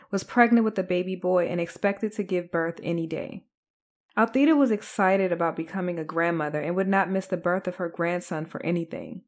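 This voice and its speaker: A woman's voice: on the low side, a little mellow. A woman podcast host delivering scripted true crime narration.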